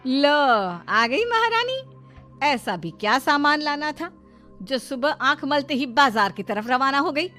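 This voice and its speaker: A woman's voice: high voice, sing-song, a little slow, sharp tone, faint music behind, exaggerated voice. A woman playing a scheming, bossy young sister-in-law in a story, sarcastic and always complaining. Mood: sarcastic scolding. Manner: sarcastic, nagging.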